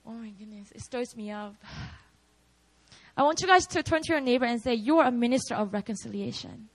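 A woman's voice: on the high side, a little fast, low-quality recording. A young woman with a Korean accent preaching at a prayer meeting, candid and chatty, often laughing at herself.

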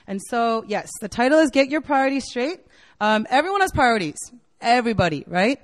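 A woman's voice: a little high, big pitch swings, brisk, in a large hall. Chatty woman preaching to students. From United States (Virginia). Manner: chatty and enthusiastic, filler-heavy with frequent "like" and "right?". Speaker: campus ministry staff speaker. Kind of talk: casual youth sermon to college students. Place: university meeting room.

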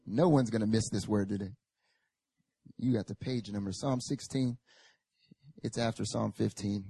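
A young male pastor with a hoarse, raspy voice from a recent chest infection, joking casually with the congregation and then reading Scripture and praying with rising fervor in a church service. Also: on the low side, moderate pace, mellow, dry recording. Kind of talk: sermon.

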